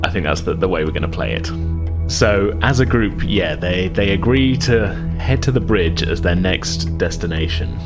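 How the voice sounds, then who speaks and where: A man casually narrating a tabletop roleplaying game as game master. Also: fairly low pitch.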